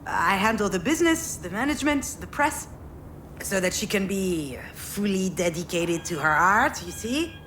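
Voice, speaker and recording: An actress playing an overwhelmed, sardonic adult daughter in a video game, speaking in a halting, expressive way. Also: female voice, medium pitch, a little hiss or hum, natural voice. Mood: animated, boastful. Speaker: game character, artist's assistant. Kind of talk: naturalistic video game acting.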